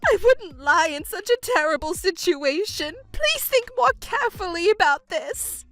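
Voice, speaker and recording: A woman's voice: sits high, lively intonation, normal speed, faint music behind. A woman voicing a selfish, self-pitying middle-aged mother in a scripted family drama, whiny and indignant in a melodramatic way. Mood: wailing despair.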